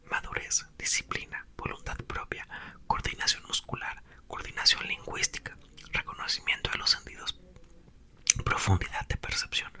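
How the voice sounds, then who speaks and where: A man voicing a gentle, hesitant mother who is also a scientist, explaining softly in an ASMR whisper.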